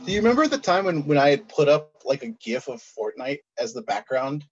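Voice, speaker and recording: A man in a casual chat, telling stories in an animated way. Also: fairly high pitch, fairly quick, husky, dull audio. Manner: animated storyteller, laughs. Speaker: co-host.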